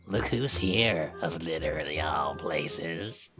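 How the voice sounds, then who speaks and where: A woman's voice: slow, fairly bright tone, faint music behind, exaggerated voice. A woman playing a bored, menacing male skeleton killer with a taunting, sarcastic drawl in a dramatised story reading. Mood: sly, amused. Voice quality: low, gravelly. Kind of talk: dramatised fan fiction reading.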